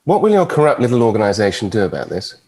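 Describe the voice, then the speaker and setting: Husky, animated, suave British devil. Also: male voice, fairly low pitch, fast. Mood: pressing. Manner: teasing, flippant, debonair. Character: suave, mischievous, immortal devil running a nightclub. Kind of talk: table read of a TV drama.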